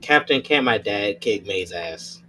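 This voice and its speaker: A man's voice: lowish voice, lively intonation, a little slow, noisy background. A man voicing a boastful, bratty kid who brags insistently and childishly in a tabletop roleplay. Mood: bragging. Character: boastful bratty kid proud of his powerful father.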